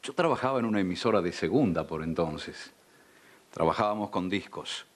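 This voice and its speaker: Older man, Argentine accent, low-pitched, veteran radio announcer, measured polished delivery, studio reminiscence. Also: animated. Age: older, around sixties. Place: dark television studio.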